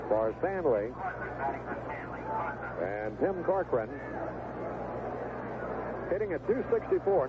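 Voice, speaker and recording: Tinny vintage radio baseball announcer, male. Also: a little high. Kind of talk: live radio sports commentary. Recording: noisy, tinny old AM radio broadcast.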